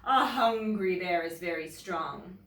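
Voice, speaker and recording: A woman with a Canadian accent, a teacher presenting and reading a children's story aloud in an expressive, deliberate way. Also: on the low side, distant mic. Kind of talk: children's storybook read-aloud with casual introduction. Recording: roomy echo, away from the mic.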